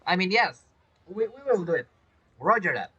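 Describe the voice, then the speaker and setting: A man's voice: high voice, big pitch swings, normal speed, sharp tone, husky, highs cut off. A young man giving chatty, playful commentary over a game playthrough. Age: young adult. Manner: chatty, playful, reacts to the characters. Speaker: gaming streamer.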